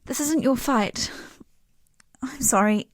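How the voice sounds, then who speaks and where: High-voiced, grieving exile woman. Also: no room sound. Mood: pleading, resigned. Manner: earnest, subdued, halting. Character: grieving young woman exile, earnest and guarded. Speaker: game non-player character. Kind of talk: dramatic video game voice acting.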